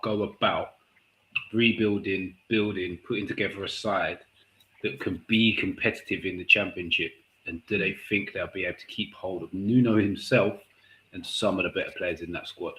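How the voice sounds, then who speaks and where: Guy hosting a football pod, bright tense voice, roomy echo, bit of hiss. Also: low-pitched, steady tone, moderate pace. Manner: upbeat, steers the talk around the group. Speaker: podcast host. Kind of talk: casual football podcast chat.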